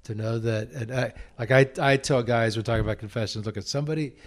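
A priest interviewing on a radio program, speaking haltingly, restarting and repeating words as he gathers his thoughts. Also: medium pitch, steady tone.

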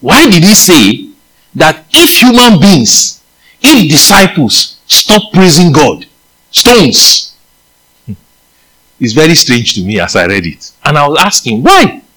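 A Nigerian-accented man preaching a sermon in an unhurried, deliberate way. His pitch swings widely, jumping up sharply to stress a point and then dropping low again. He often stops to put questions to his listeners. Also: slightly rough, rough recording. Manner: animated, leaps in pitch for emphasis, asks the listeners questions. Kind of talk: sermon with scripture reading.

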